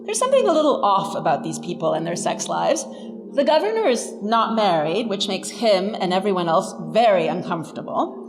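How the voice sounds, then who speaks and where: A woman's voice: medium pitch, very animated, fairly quick, vocal fry. A woman giving a scripted academic lecture as a professor, with a wry, emphatic manner. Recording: reverberant hall, music underneath.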